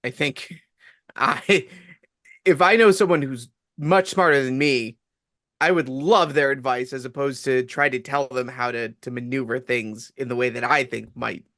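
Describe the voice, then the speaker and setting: A man's voice: mid-range voice, unhurried, pushed and bright, dry recording, clean studio sound. A man hosting a casual interview, asking articulate, reflective questions. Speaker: podcast host.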